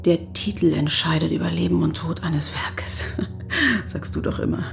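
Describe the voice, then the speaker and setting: A woman playing a sardonic, quick-witted married city woman in an audio drama, with a wry, teasing and theatrical delivery. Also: low-pitched, creaky, whispered, soft background music. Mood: sardonic, musing.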